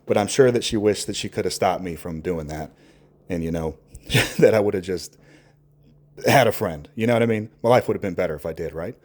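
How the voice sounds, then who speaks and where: A man talking candidly and off the cuff in a reflective, earnest monologue, halting as he searches for words and swearing casually. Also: sits low. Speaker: podcast host.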